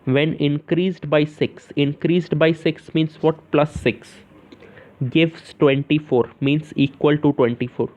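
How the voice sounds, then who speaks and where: A man's voice: on the high side, fairly even intonation, slow pace, darkish tone. A young man with an Indian accent gives an informal step-by-step maths lesson, asking questions and answering them himself, often repeating phrases and checking in with short confirmations. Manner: repeats phrases, punctuates with "yes" and "okay". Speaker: maths tutor. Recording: narrow, tinny phone-like sound.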